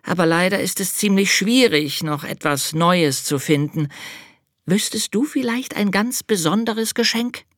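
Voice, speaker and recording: A narrator voicing a small, proud and excited boy angel in a children's storybook, chattering eagerly. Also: medium pitch, measured pace, no room sound, clean studio sound, pitched above the performer's own voice. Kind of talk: storybook reading.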